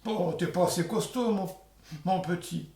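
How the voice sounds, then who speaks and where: A man voicing a short, round countrywoman in a folk tale, gruff, bossy and blunt. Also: high voice, a little slow, warm tone, echoey room. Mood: grudging.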